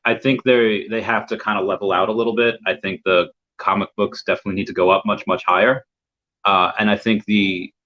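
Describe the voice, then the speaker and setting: A man's voice: on the low side, a bit bright. A young man from the United States, a guest in a casual interview chat over a video call, rambling and repeating words as he searches for his thoughts. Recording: dull lo-fi audio.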